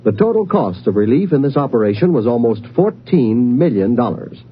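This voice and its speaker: A man's voice: medium pitch, sing-song, normal speed, breathy edge. A man reading a scripted 1950s radio charity appeal as announcer, in an earnest, emphatic way. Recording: dull vintage radio recording.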